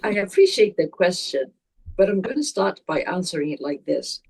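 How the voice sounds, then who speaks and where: An older woman, answering interview questions slowly and reflectively, pausing and restarting as she thinks. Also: lowish voice, very animated, vocal fry, a little mellow, breathy edge. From Zambia. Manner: slow, deliberate, with pauses and restarts. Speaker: guest.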